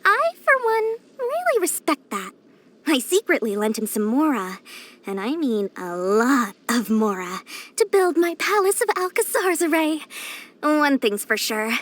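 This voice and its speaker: A pint-sized, money-hungry female merchant from a video game. Her voice sits very high and swings up and down with theatrical flair. She boasts and wheedles like a born schemer, smugly cheerful in every sales pitch. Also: sing-song, measured pace. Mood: chatty, scheming. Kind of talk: video game character voice lines.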